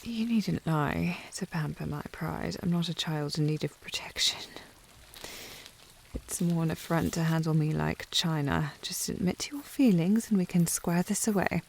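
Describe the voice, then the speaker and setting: A woman's voice: low voice, moderate pace, vocal fry, a little mellow, a little hiss or hum. A woman playing a haughty, coy young lady of the house with a British accent, prim and formal, in an intimate historical romance ASMR roleplay. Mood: coy, prickly. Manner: prim, snooty, formal phrasing with coy indirectness.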